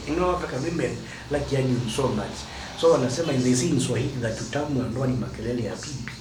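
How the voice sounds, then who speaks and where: A man's voice: medium pitch, a little fast. A Kenyan man giving marriage advice as a teaching talk, stressing key words and drawing them out. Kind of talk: teaching monologue. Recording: roomy echo from a distance, noisy.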